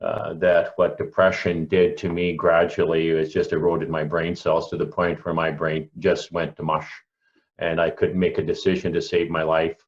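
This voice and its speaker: A Canadian man in his early sixties giving personal testimony on a panel, reflective and deliberate, repeating himself for emphasis. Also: low-pitched, monotone, highs cut off. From rural Alberta, Canada. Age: early 60s. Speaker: panelist, local pastor.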